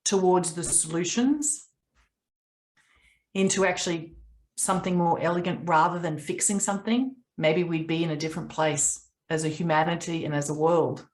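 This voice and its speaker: A woman in her late forties, raised in Papua New Guinea, coaching a group on a video call in an earnest, reflective way with long pauses. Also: lowish voice, fairly even intonation, rough recording.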